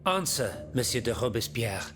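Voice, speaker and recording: A man's voice: medium pitch, big pitch swings, lightly acted. Actor as formal, solemn statesman over music. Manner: formal, measured, oratorical. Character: solemn male statesman presiding over revolutionary French assembly. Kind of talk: dramatic video game cutscene acting. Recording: music behind the voice.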